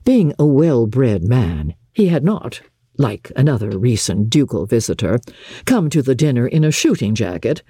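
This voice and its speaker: A woman giving a scripted, measured and expressive dramatic reading of a classic novel as narrator. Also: rumbling low, husky, no echo, studio quality. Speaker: audiobook narrator and radio presenter.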